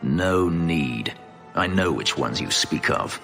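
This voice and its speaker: A man's voice: low-pitched, lively intonation, slow, creaky, a bit bright, faint music behind, full character voice. An actor playing a bitter, brooding male elf who escaped slavery, speaking grimly and formally in a video game. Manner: grim, terse, formal phrasing.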